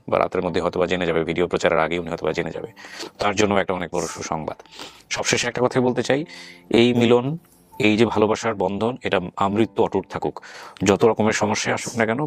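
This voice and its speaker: A man's voice: deep voice, vocal fry. A man with a Bangladeshi accent hosting a show, addressing his guests and audience. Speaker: show host.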